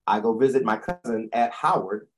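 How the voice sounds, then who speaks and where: A man in his sixties with a deep voice, telling a long personal story as an interview guest, often correcting himself as he goes. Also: moderate pace, husky. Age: 60s. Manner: rambling, self-correcting storyteller.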